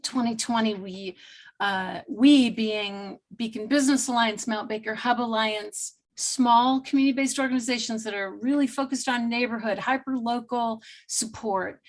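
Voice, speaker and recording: A woman who organizes community business support, giving a rambling introduction full of restarts on a panel video call. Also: fairly high pitch. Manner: rambling, restarts a lot, speaks fast.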